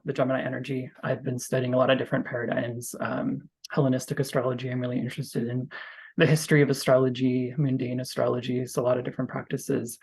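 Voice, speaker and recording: A man's voice: mid-range voice, a little mellow, slightly rough. A man speaking as an astrologer guest in an interview, explaining his practice earnestly and conversationally, thinking aloud as he goes.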